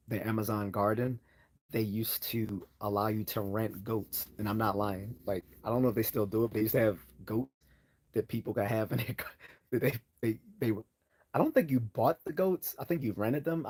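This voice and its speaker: A man hosting a relaxed livestream Q&A, his slightly low voice worn hoarse. He wanders through his answers, trailing off into fillers and starting sentences over as he reads and responds to chat. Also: normal speed. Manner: rambling, hesitant, many fillers and restarts. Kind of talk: casual live Q&A chat.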